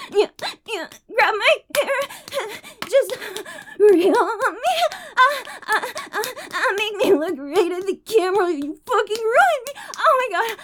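A woman playing a very high-voiced, breathless bratty streamer. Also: a little mellow. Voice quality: breathy, moaning, tearful. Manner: panting and moaning between short phrases. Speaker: adult audio roleplay performer. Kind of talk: explicit audio roleplay.

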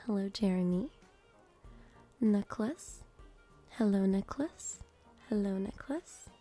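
A woman doing ASMR as a host, greeting people one by one in short, gentle phrases, moving between a breathy whisper and soft speech. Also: mid-range voice, unhurried, soft background music. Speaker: host greeting viewers by name. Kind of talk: ASMR name reading.

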